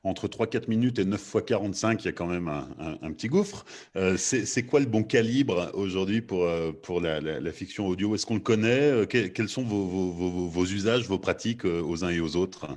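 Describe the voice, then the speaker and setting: A man with a deep voice hosts a panel discussion. He puts his questions together as he goes, hesitating and repeating small words on the way. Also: normal speed, darkish tone. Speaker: host, moderator.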